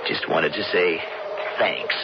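A man's voice: low voice, takes it slowly. An American actor playing a cool, mocking stagecoach robber who drawls his taunts in a radio Western. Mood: smug. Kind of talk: radio Western drama acting. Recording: dull, noisy vintage radio broadcast.

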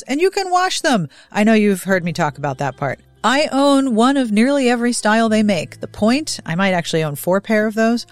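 A woman's voice: medium pitch, no echo. A woman hosting a podcast, reading scripted intros and sponsor spots in a chatty, emphatic way. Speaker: podcast host. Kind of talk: scripted podcast intro and host-read ads.

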